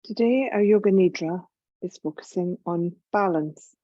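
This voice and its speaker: A woman leading a guided yoga nidra meditation in a gentle, calm, measured voice with long pauses. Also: fairly low pitch, no echo, lo-fi sound. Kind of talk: slow guided relaxation meditation narration.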